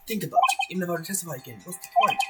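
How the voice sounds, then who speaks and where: A woman voicing a cool, dismissive, calculating female murder suspect in a game fandub, deadpan and unhurried. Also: fairly low pitch, close to an everyday voice. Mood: detached, dismissive. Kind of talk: video game fandub acting. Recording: loud music under the voice.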